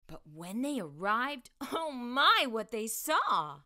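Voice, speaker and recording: A middle-aged woman hosts a children's storytime in a fairly high, very lively voice. She reads expressively and unhurriedly, shaping each phrase for young listeners. Her tone is bright and clear-cut. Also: takes it slowly. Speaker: children's storytime host and reader. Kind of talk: read-aloud storytelling for children. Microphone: lapel mic.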